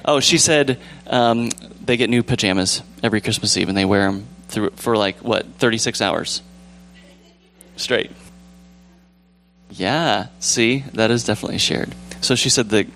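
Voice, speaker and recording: A young man with a calm, relaxed voice leading a casual church discussion, rambling gently with fillers and restarts. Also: fairly low pitch, unhurried, slight background noise. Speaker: church group leader. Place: church sanctuary with pews.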